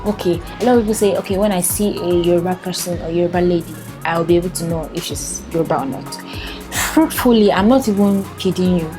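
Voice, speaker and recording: A woman's voice: lowish voice, quick pace, music in the background. A young Nigerian woman with a Nigerian accent, chatting casually to the camera in a vlog, laughing often and filling her talk with "like". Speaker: vlogger.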